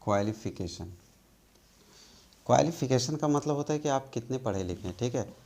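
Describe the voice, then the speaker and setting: A man from India teaching spoken English in a slow, patient way, often checking that the learners follow. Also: medium pitch, expressive, mellow.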